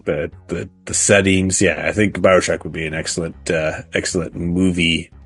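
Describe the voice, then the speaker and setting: A man co-hosting a casual gaming chat, halting and often repeating himself. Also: low-pitched, fairly even intonation, fairly bright tone, breathy edge, faint music behind. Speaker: podcast co-host. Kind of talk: casual chat about video games.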